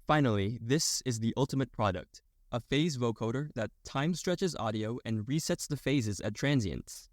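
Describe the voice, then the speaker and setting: A man narrating a scripted technical explainer in a clear, step-by-step manner. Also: mid-range voice, animated, normal speed, hardly any pauses. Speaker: video tutorial narrator.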